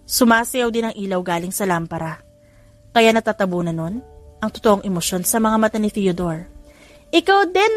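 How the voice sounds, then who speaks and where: A Filipino woman with a fairly high voice narrates a scripted audiobook. She reads the story and voices its dialogue, with music playing softly behind her. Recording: music under the voice. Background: background music.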